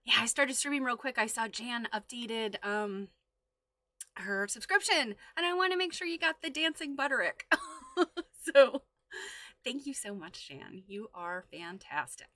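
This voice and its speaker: Casual female livestream host, chatty and playful, bright voice, close dry sound. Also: fairly high pitch, lively intonation, unhurried, no echo.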